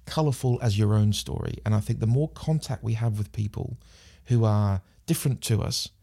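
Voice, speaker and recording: A man's voice: fairly low pitch, expressive, moderate pace, creaky, warm tone, breathy edge. A man with a New Zealand accent, a podcast guest talking thoughtfully in casual conversation, often restarting and repeating words. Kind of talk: casual interview chat.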